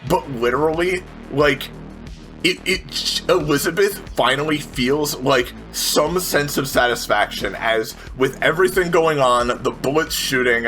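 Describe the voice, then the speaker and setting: Bright-voiced man playing a tabletop game. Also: mid-range voice, unhurried, rough recording. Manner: colloquial, profane, thinking aloud. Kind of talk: casual game chat and narration. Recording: music under the voice. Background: background music.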